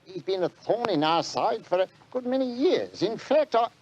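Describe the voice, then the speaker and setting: Casting: dogged London copper, fairly high voice, colloquial and blunt, bouncy intonation, old radio drama. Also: male voice, big pitch swings, normal speed, darkish tone, dull audio, a little hiss or hum. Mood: dogged, skeptical. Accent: London working-class English. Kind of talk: 1940s radio drama acting.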